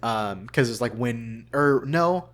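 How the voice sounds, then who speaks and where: A man, a voice actor, chatting casually and telling anecdotes in an earnest, animated way. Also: medium pitch, slow. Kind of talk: casual anecdotal interview chat.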